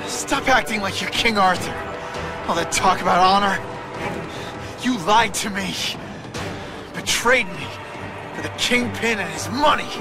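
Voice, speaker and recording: An actor playing a wisecracking, indignant young superhero with a sarcastic, quippy way of talking in a video game. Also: male voice, high voice, measured pace, pauses often, over music. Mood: angry, accusing.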